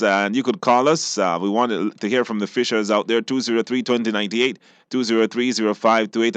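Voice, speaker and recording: A male radio host with a Belizean accent runs a live call-in show, addressing his guests and listeners. His voice sits at an ordinary male pitch in a dry, close studio sound. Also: fairly bright tone, no echo.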